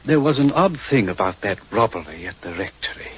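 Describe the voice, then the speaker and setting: A man's voice: fairly low pitch, very animated, brisk, breathy edge. An actor playing a polite English village father, genteel and courteous, in a 1940s radio drama. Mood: casual, sly. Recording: muffled old radio recording.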